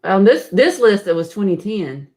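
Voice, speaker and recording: A woman's voice: mid-range voice, big pitch swings, moderate pace, darkish tone. A woman on a casual podcast who chimes in with short, chatty remarks. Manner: brief, chatty interjections. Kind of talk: casual chat.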